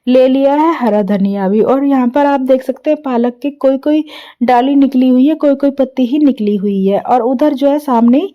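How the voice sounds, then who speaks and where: A woman vlogger with a sore, hoarse throat, narrating her daily chores in a chatty, homely voice-over full of fillers. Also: high-pitched, moderate pace, muffled recording. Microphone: recorded voice-over.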